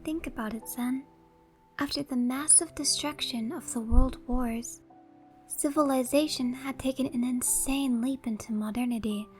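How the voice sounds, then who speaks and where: Ageless female shaman for audio drama, played by a woman: high voice, teasing, worldly, theatrical, over music. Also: fairly even intonation, unhurried. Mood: mysterious, reflective. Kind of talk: horror-comedy audio drama acting.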